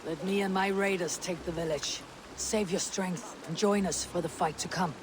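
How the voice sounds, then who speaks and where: A woman playing a stern, resolute Viking raider leader in a game, speaking in a blunt, measured, commanding way. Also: on the low side, fairly even intonation, background noise, close to an everyday voice. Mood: persuasive, firm.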